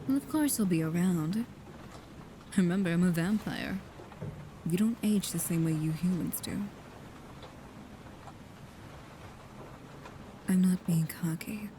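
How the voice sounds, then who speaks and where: Over clearly audible background noise comes a woman acting as a female vampire protector in an ASMR roleplay. Her voice is on the low side, soft and intimate, often sinking to a whisper. She sounds devoted and proud yet guarded, and speaks in slow, halting phrases. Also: lightly acted. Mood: calmly proud.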